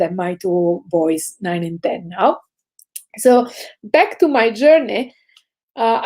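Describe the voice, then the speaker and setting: A woman of about forty from southern Italy with an Italian accent, giving a prepared motivational talk to students in a reflective way, stressing the start of phrases and trailing off softly. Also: fairly high pitch. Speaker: speaker sharing career lessons with students.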